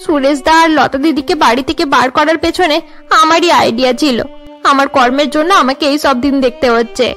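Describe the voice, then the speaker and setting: A woman's voice: high-pitched, steady tone, fairly quick, a bit bright. A woman voicing a sad, self-blaming married woman in a melodramatic cartoon, with a plaintive, regretful manner. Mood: remorseful, sad.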